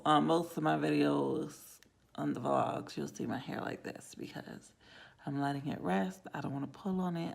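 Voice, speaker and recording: A woman vlogging in a casual ramble to camera, with a raspy, hoarse voice and frequent drops into whispers. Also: low voice, darkish tone. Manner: rambling, self-talk with many trailing whispers. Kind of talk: casual vlog talk to camera.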